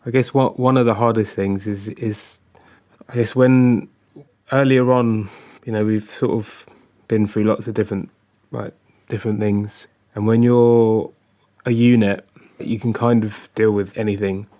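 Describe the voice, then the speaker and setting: A man acts a disappointed husband in an audio drama, his voice lowish and very flat. He is guarded and hesitant, trailing off as he goes. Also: a little slow, close to an everyday voice. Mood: lonely, resigned. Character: disappointed, withdrawn academic husband and father. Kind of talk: naturalistic audio drama dialogue.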